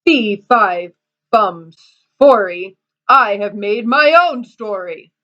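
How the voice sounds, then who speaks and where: A woman voicing a huge, slow-witted male giant who talks in a slow, heavy, plodding way. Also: medium pitch, expressive, a bit bright, highs cut off. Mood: sulky.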